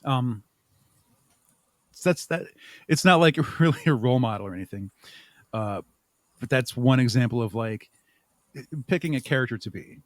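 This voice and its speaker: A man with a mid-pitched voice chats as an interview guest. He answers in a thoughtful, wandering way and plays himself down. The sound is dry and close, with little room in it. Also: normal speed, pauses often, dry recording. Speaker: podcast guest, audio drama creator. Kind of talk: casual interview conversation.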